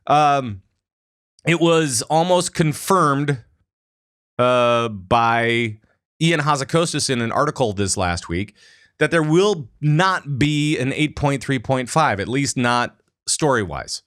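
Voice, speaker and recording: A man's voice: mid-range voice, lively intonation, slow, fairly bright tone, no echo, clean studio sound. A man hosting a podcast, chatting casually about a game and rambling with many fillers and restarts. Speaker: podcast host. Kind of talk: casual gaming chat.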